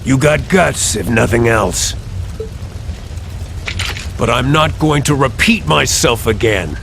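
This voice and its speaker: A man's voice: medium pitch, expressive, slow pace, gravelly, a bit bright, a little hiss or hum, full character voice. A man playing a menacing mercenary soldier in a video game, talking in a cold, blunt, threatening way. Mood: cold threat. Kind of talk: dramatic video game acting.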